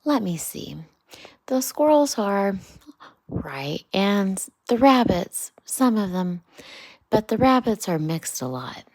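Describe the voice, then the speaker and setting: Woman reading as a worldly, slow-talking storybook water rat. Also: medium pitch, very animated, darkish tone, no echo, voice pushed up, full character voice. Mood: brisk, evasive. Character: worldly, chatty male water rat, cautious and dismissive. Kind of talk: children's bedtime storybook reading.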